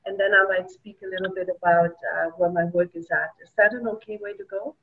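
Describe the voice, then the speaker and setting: A woman of about fifty-eight with a German accent, speaking slowly and hesitantly, with many pauses, as she recounts her path into community work over a video call from a home office. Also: lowish voice, steady tone. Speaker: award-winning community practitioner. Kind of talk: reflective conversational talk. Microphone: laptop mic on a video call. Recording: tinny distant video-call audio.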